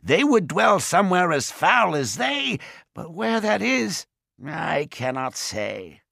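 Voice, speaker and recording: A man voicing a small, grieving male house brownie who speaks in slow, singsong rhymes with a gravelly voice. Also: high voice, very animated, a bit bright, no room sound, studio quality, voice pushed up, heavily acted. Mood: solemn. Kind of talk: audiobook character voice.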